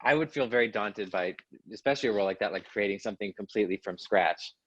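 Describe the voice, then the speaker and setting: A man's voice: on the low side, fast, a bit bright, no echo. A man hosting a casual interview, asking easygoing questions hedged with "like" and "sort of". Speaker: host, orchestra flutist. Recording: dull dry lo-fi audio.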